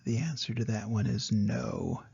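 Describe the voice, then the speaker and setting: Gravelly older man reading to children. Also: fairly low pitch, a little slow, a little mellow, highs cut off. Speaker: grandfather reading picture books to grandchildren.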